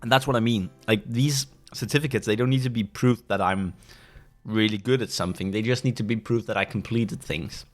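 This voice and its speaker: Low-voiced Danish man, halting and searching for words. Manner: pauses often to search for words, many restarts. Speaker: podcast host. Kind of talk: casual solo monologue. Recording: faint background music.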